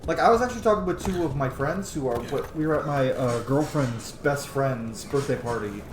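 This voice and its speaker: A man's voice: medium pitch, moderate pace. A man giving casual, profanity-laced commentary while playing a video game. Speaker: gaming video host. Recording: roomy echo, clear background noise.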